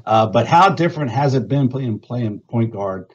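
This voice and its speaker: A man's voice: medium pitch, lively intonation, moderate pace, husky, muffled recording. A man hosting a live booster club interview, talkative and upbeat, introducing and questioning players.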